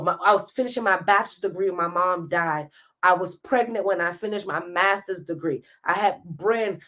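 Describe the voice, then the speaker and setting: A woman's voice: mid-range voice, sharp tone. A woman in her thirties hosting a live stream, giving a passionate motivational talk straight to her viewers. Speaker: host.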